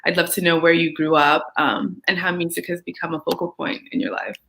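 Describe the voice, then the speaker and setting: A young woman hosts, her voice a touch low for a woman. She introduces the show from a script and then chats warmly and brightly with her guest. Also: little pitch movement, a little fast, vocal fry. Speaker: host.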